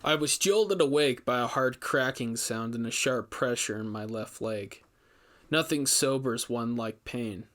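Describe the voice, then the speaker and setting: A man's voice: mid-range voice, a little slow, a bit bright. Male storyteller reading a horror tale. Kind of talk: scripted first-person horror narration.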